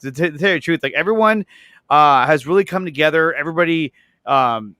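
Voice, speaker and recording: A man's voice: on the high side, lively intonation, fairly quick, pushed and bright, clean tone, no echo, clean studio sound. A man chatting casually and enthusiastically, gushing and often repeating words as he searches for the next one.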